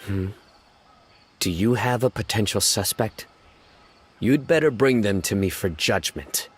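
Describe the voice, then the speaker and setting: Man as stern, very deep-voiced investigator. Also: a little hiss or hum, close to an everyday voice. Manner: measured, commanding, grave. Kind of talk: video game voice acting.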